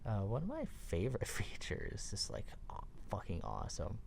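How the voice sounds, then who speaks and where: A man speaking softly in a casual, rambling, enthusiastic ASMR style, slangy and sweary, sometimes dipping near a whisper. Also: fairly low pitch, wildly swooping pitch, normal speed, slight background noise. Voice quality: soft, breathy delivery dipping near whisper. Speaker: ASMR roleplay host. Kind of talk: soft-spoken ASMR roleplay, casual explaining.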